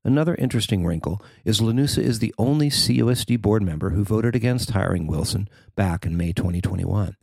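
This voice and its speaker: Low, gravelly man reading news. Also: lively intonation, brisk, few pauses, a little mellow, no room sound, studio quality. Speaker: newspaper reporter reading own article. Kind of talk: scripted news narration.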